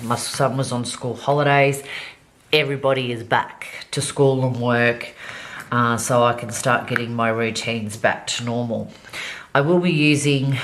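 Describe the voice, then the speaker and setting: Slow, slightly nasal woman. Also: a bass voice, a little hiss or hum. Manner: unhurried, chatty, many ums. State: slightly nasal, coming down with a cold. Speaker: planner vlogger. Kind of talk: casual plan-with-me narration while decorating.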